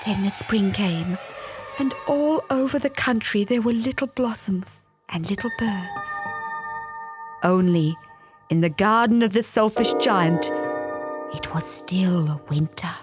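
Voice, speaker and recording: A woman's voice: medium pitch, lo-fi sound. A woman reading a children's story expressively, with dramatic repeats and questions to young listeners.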